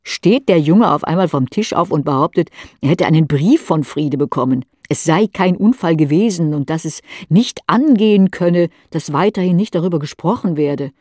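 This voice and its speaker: A woman's voice: low voice, lively intonation, normal speed, hardly any pauses, darkish tone, no room sound, clean studio sound. A woman reading scripted literary narration in the second person for an audio drama.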